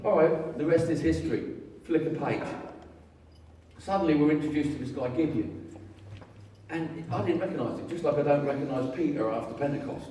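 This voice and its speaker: A man preaching a lively, jokey sermon, telling a story with voices and getting the congregation to join in. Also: a little high, brisk, a little hiss or hum. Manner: jokey, animated storytelling with audience participation. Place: large hall with an audience. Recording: echoey hall, far from mic, crowd.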